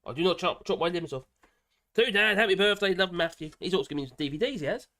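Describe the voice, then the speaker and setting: An older man rambles through a casual live stream, full of fillers and restarts. His voice is hoarse from a cold and sits slightly high for a man. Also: lively intonation, quick pace, sharp tone. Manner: rambling, chatty, with frequent fillers and self-corrections.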